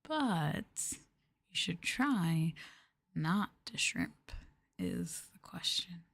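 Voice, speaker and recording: Woman, ASMR streamer, soft breathy voice, frequent whispering, slow pace, gentle casual chat, close mic. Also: lowish voice, lively intonation. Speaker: ASMR livestream host. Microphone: close ASMR microphone.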